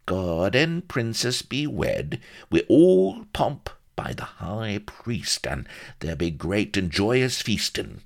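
A shifty old castaway with a West Country burr, pitched up high and swooping about. He rambles and wheedles like a storybook yokel, whimpering in thick dialect. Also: big pitch swings, slow, gravelly, exaggerated voice. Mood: gleeful reminiscing. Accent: English West Country.

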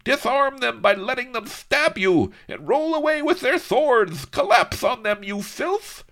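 A man voicing a cruel, unhinged Spanish nobleman who shrieks orders at his soldiers in a shrill, gibbering voice. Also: big pitch swings, unhurried, a bit bright, exaggerated voice. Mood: frantic.

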